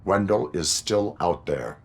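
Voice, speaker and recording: An actor playing a stoic cyborg police officer in a video game, speaking in a terse, measured deadpan. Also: male voice, deep voice, heavily acted. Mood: flat, grave.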